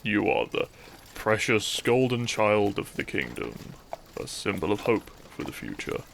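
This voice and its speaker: A man playing a bitter, brooding thief in a scripted audio roleplay, speaking straight to the listener with mocking politeness and dramatic pauses. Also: on the low side, big pitch swings, gravelly, fairly bright tone, slight background noise, full character voice. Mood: cold, menacing. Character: bitter young male thief, kidnapper, once a noble's childhood friend.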